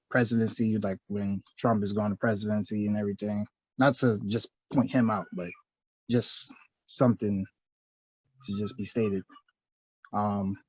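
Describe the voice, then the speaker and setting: Low-voiced man, dry, soft-spoken guest. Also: no echo. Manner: soft-spoken, halting, laughs between thoughts. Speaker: interview guest, clothing designer. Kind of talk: casual interview answers. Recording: dry, dull lo-fi audio.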